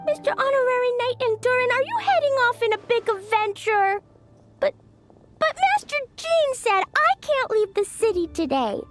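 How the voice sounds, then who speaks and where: A woman's voice: very high-pitched, very animated, normal speed, over loud music. A woman voicing a sweet, eager, innocent little girl in a video game, with a childlike voice. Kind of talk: video game character acting.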